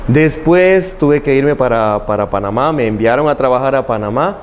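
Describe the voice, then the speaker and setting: A middle-aged man with a Salvadoran accent, a missionary priest who explains slowly and carefully, closing his phrases with "¿verdad?". His voice sits at a middle pitch, with a faint room hum underneath. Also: lively intonation. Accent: Salvadoran Spanish. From El Salvador, Chalatenango. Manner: measured, explanatory, often ends with "¿verdad?".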